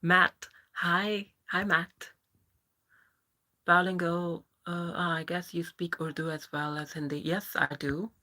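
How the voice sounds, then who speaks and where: Woman Pakistani-accented livestreamer, bright and forceful. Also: on the low side, little pitch movement, takes it slowly. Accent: Pakistani English. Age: 30s. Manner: chatty, answers viewers by name. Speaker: livestream host. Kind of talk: casual live chat reading viewer comments.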